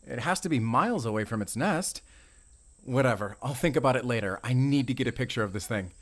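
A man's voice: mid-range voice, expressive, brisk, fairly bright tone. Man acting as a chatty, eager American forest ranger. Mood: excited. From Arizona, US. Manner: talkative, eager, rambling, with small chuckles. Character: chatty, excitable, friendly young male forest ranger. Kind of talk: roleplay audio drama addressed to the listener.

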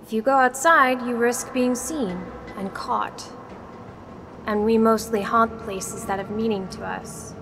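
A woman's voice: on the high side, unhurried, noisy background. An actress playing a determined, earnest young female spirit in a radio play, firm and tough. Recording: voice over clear music.

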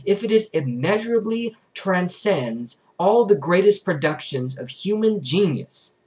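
A man's voice: sits high, lively intonation, a little slow, darkish tone. A young adult man reads a religious book aloud in a formal, emphatic manner, recorded in a small bedroom on a desk camera. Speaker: book reader.

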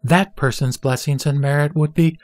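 A man playing a serene, authoritative spiritual teacher, measured and didactic, in a scripted sutra audio drama. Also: a little high, fairly even intonation, faint music behind, lightly acted. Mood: solemn teaching. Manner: solemn, measured, didactic delivery. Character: the Buddha, serene, authoritative male spiritual teacher instructing a disciple.

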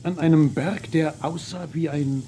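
An actor playing a hesitant but dignified army general who hems and haws, in a western radio play. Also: male voice, on the high side, steady tone, warm tone, slight background noise, close to an everyday voice. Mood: halting, explaining. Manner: halting, hemming.

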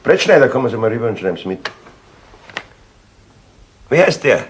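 An actor with a Bavarian accent playing a dry, composed police chief inspector in a 1970s German crime radio play, speaking deliberately. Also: male voice, medium pitch, sing-song, natural voice. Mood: businesslike. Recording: noisy vintage radio drama recording.

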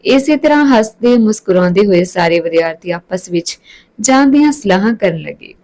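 A woman's voice: mid-range voice, expressive, normal speed, darkish tone. A woman narrating a scripted story as a storyteller.

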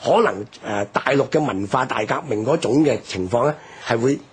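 A man with a husky, rough voice and a Hong Kong Cantonese accent, explaining history emphatically in a radio discussion, posing rhetorical questions. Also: mid-range voice, animated, normal speed.

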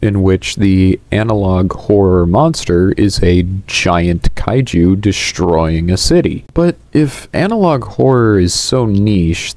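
A man in his twenties presents a video essay at an unhurried, slow pace. His voice is low and a little mellow, and he explains things conversationally into a close, dry headset mic. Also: no room sound. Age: 20s. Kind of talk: semi-scripted video essay narration. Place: small indoor room. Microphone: headset boom mic.

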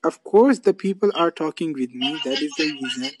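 A man's voice: a little high, animated, a little fast, background noise. A man hosting a casual English practice video call, speaking simple English and repeating his phrases. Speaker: YouTube channel host.

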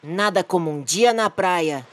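A man's voice: high voice, unhurried. A man with a Brazilian accent voicing a weary, exasperated big cartoon dinosaur who sighs and complains plaintively. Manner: sighing, plaintive, long-suffering. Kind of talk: cartoon voice acting.

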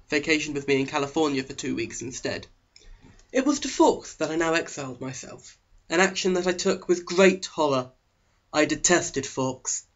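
A fairly high-voiced man reads a novel aloud as scripted narration. His delivery is sarcastic and melodramatic, and the recording sounds slightly dull. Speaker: audiobook reader.

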